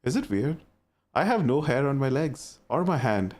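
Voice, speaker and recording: A young man with an Indian accent talks to his stream chat in a loose, casual way and swears easily. His voice sits at a middle pitch but jumps around a lot as he reacts. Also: very animated, moderate pace, a little mellow.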